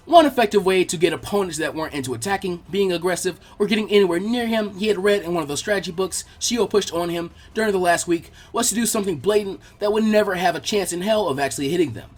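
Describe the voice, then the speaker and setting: A man's voice: sits high, fast, hardly any pauses. A man reading a scripted action story aloud as its narrator.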